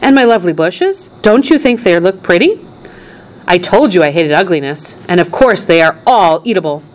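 A woman voicing an excitable, showy male chocolate factory owner in a storybook, proud and gushing with emphatic delivery. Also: fairly low pitch, normal speed, close to an everyday voice. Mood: delighted, proud.

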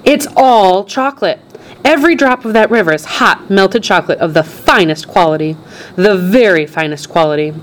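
A woman voicing an excitable, showy male chocolate factory owner in a storybook, proud and gushing with emphatic delivery. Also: low-pitched, moderate pace, natural voice. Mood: excited boasting.

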